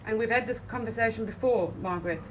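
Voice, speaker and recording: A woman at her front door, arguing firmly and loudly with a neighbour, caught on a phone held in her hand. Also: a little high, quick pace. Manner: loud, firm, insistent. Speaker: homeowner doing building work. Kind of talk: heated doorstep argument. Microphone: phone held in hand, pointed away. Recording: distant phone recording, faint street noise.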